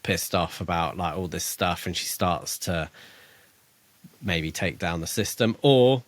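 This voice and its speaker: A man's voice: low-pitched, lively intonation, measured pace, dry recording. A man co-hosting a podcast, casually discussing a book and thinking aloud in a tentative, hedging way. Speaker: podcast co-host.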